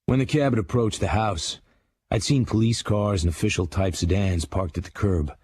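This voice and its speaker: A man's voice: fairly low pitch, normal speed, a little mellow, slightly rough, dry recording. An actor playing a grown writer who grimly recalls his boyhood, narrating a drama in a hard-boiled voice. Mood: grim, reflective. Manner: hard-boiled, matter-of-fact. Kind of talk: scripted first-person drama narration.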